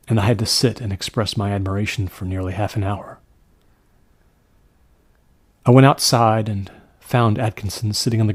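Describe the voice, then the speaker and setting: A man narrates a classic horror tale in a fairly low register. His delivery is measured and deliberate, with a slightly husky grain. The recording is dry and close. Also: a little mellow, no echo. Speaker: audiobook narrator.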